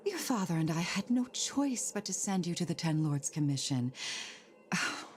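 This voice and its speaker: A woman's voice: fairly low pitch, big pitch swings, moderate pace. A woman playing an anxious, fearful, sorrowful mother in a dramatic video game scene. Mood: sorrowful, resigned. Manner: agitated, dramatic. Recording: noticeable ambient noise behind.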